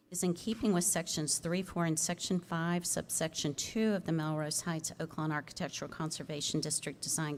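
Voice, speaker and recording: A woman staff planner reading a formal report aloud at a public hearing in a measured, procedural way. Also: sits low. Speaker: staff planner presenting a case. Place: public commission meeting room. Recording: noisy public meeting room microphone.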